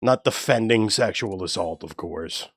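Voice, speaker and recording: A young man with a low, even voice, chatting casually and swearing freely with his live stream chat. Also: a little slow, husky, no room sound. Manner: laid-back, profane, joking with chat.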